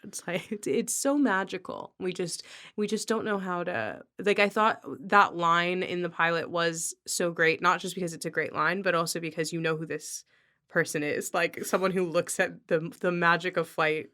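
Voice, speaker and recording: A woman's voice: on the low side, steady tone, normal speed, pushed and bright. A woman podcast host in a casual, conversational interview.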